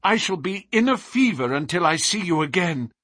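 A man voicing an anxious, grateful young diplomat recovering from illness in a storybook, speaking earnestly and excitably. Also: medium pitch, unhurried, husky, no echo, studio quality, voice pushed up, exaggerated voice. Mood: feverish, grateful.

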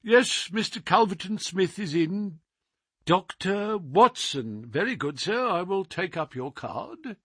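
Storybook butler: man playing a quiet, deferential servant, formal manner, dry close sound. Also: high-pitched, lively intonation, slow pace, husky, no room sound, voice pushed up, exaggerated voice. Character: solemn, deferential male butler.

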